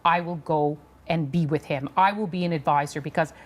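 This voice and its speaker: A woman's voice: sits low, steady tone, fairly quick. A woman commentating on a news panel in an emphatic way, repeating words for stress. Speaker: political commentator, studio guest.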